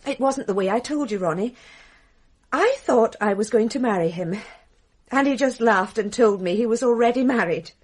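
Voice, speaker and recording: An actress playing a titled English wife confessing to murder in a radio drama, bitterly ironic and theatrical, with a British accent. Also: female voice, a little high, animated, normal speed, darkish tone, husky. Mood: bitter, wistful.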